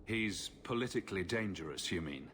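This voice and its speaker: A fairly low male voice plays a steady fantasy hero in a game cutscene. He is dry, direct and brief, with faint noise behind him. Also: brisk. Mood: dry, probing. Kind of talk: video game cutscene acting.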